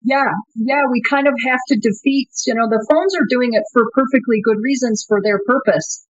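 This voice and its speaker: A woman's voice: on the high side, normal speed, dry recording, muffled recording. A woman company co-founder answering interview questions thoughtfully, often restarting her sentences. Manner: thoughtful, frequent restarts and fillers.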